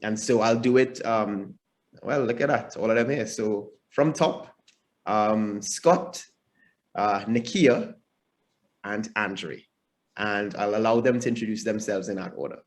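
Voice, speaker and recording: A man's voice: lowish voice, measured pace. A man hosting an online panel, talking earnestly and rambling a little with many ums and restarts over a video call. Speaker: host. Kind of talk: moderating a webinar panel.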